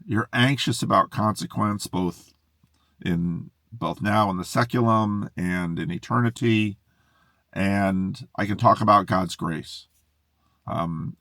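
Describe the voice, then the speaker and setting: Middle-aged male pastor, low gravelly voice, rambling excitable monologue, dry car sound. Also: dry recording. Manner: musing, self-interrupting, excitable. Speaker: pastor and video host. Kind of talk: unscripted monologue to camera. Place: inside a parked car in a closed garage. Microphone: camera in the car.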